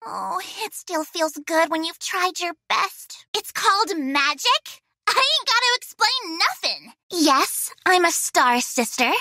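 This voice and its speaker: Very high, squeaky-bright girlish witch princess. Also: big pitch swings, slow, no echo, exaggerated voice. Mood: cutesy, playful. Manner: cutesy, theatrical, exclamatory, swinging between peppy and mopey. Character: bubbly, girlish young vampire witch princess with macabre streak. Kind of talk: animated video game character voice lines.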